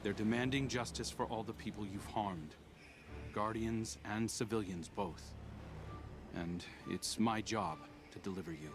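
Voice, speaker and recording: A man voicing a steady, diplomatic commander who speaks in a calm, measured, authoritative way. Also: lowish voice, background noise, close to an everyday voice. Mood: firm. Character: steady male human commander, firm and diplomatic. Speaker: military commander. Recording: game audio with music. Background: music.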